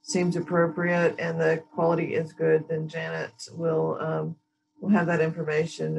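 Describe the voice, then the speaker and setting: A woman in her thirties hosting a training workshop over a video call, warmly introducing each participant in turn. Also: low voice, gravelly, slight background noise, poor audio. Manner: friendly, thanks each speaker. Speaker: workshop host.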